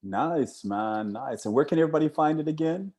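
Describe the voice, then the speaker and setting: A man's voice: on the high side, lively intonation, a little fast, a loud crowd. An American man hosting an interview in a casual, chatty style. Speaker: interview host. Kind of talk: casual chat.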